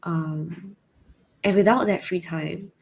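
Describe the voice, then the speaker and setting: A woman's voice: lowish voice, steady tone, slow, gravelly, breathy edge. A young woman with a Singaporean accent, thoughtfully answering viewer questions in a casual chat, hesitant with many fillers. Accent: Singaporean English.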